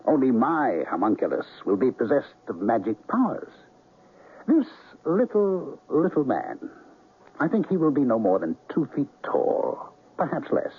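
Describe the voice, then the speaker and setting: Raspy, wildly swooping mad alchemist. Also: male voice, mid-range voice, huge pitch swings, measured pace, full character voice. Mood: gloating. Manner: pompous, declaiming. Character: grandiose mad alchemist bent on ruling the world. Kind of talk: theatrical old-time radio drama acting. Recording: narrow-band old radio recording.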